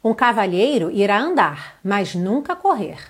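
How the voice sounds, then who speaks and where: A woman from Brazil teaching an online English lesson, reading lyrics slowly and carefully and then translating them. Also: medium pitch, sing-song, breathy edge.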